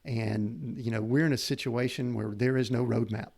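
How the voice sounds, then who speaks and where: A man's voice: medium pitch, steady tone, moderate pace, vocal fry, a little mellow. A middle-aged man hosting a podcast, earnest and rambling as he searches for the words to his questions. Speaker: podcast host. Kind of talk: conversational interview.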